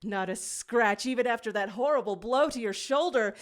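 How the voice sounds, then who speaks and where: A woman's voice: a little high, normal speed, close to an everyday voice. A woman voicing a gleeful, affectionate male jackal who teases and dotes on his younger brothers as pack boss. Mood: excited, relieved. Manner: playful, teasing, doting.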